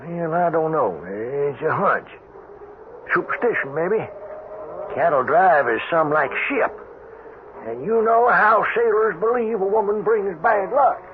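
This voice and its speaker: An actor playing a cocky, leering cowhand bully in an old radio western, with a creaky drawl and taunting folksy manner. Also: male voice, animated, a little slow. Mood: wary, drawling. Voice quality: creaky, low. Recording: muffled, noisy old radio broadcast.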